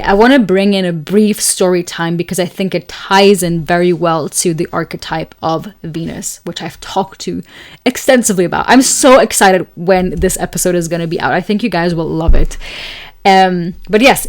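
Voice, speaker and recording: This is a young woman from Switzerland hosting her astrology show. She chats casually, wandering between topics and filling gaps with "like" and "you know". Her voice is a little raspy and tired after long hours of talking. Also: lowish voice, moderate pace. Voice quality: slightly raspy. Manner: chatty, rambling, many fillers and laughs. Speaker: astrology podcast host. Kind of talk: casual solo talk and storytelling.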